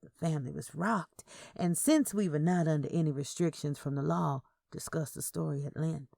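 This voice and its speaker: A woman's voice: deep voice, normal speed, creaky, darkish tone, no room sound, studio quality. A woman reading a scripted first-person story as its narrator.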